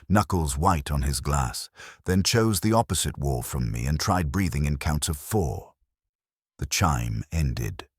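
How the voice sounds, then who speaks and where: A man reading a first-person detective story as an audiobook narrator, in a measured hardboiled style. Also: a bass voice, husky, no room sound, clean studio sound.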